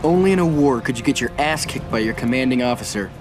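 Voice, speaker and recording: A man's voice: medium pitch, expressive, quick pace, over music, natural voice. A man voicing a brash young navy officer. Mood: wry. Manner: wry, brash retrospective narration. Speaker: narrator and naval officer. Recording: war game cutscene with loud score.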